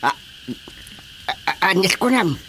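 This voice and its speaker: A man's voice: high-pitched, expressive, a little slow, gravelly, pushed and bright, slight background noise, exaggerated voice. A man playing an authoritative village chairman who questions his staff in an Ilocano radio drama. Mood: businesslike.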